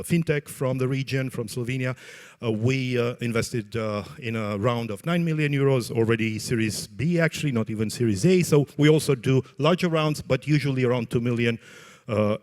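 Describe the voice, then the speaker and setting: A man with a Romanian accent, presenting an investment fund in a stage pitch as a venture capitalist. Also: a little mellow.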